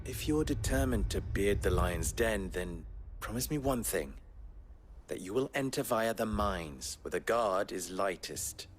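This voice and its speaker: A man's voice: fairly low pitch, animated, measured pace, faint music behind. A man playing a suave, wry underworld fixer in a video game cutscene, with arch, formal phrasing. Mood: grave, wry.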